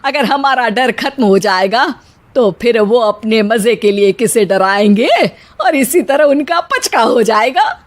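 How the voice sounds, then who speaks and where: Woman acting a bossy, high-pitched mother-in-law. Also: lively intonation, measured pace. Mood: coaxing, bossy. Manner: scolding, sarcastic, exclamatory. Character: bossy, sarcastic, easily frightened middle-aged Indian mother-in-law. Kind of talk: cartoon voice acting.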